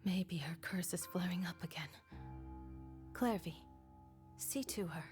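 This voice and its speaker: An actress playing a cold, imperious orphanage mother in an animation, measured and commanding, with breathy whispered endings. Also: lowish voice, natural voice. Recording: music behind the voice.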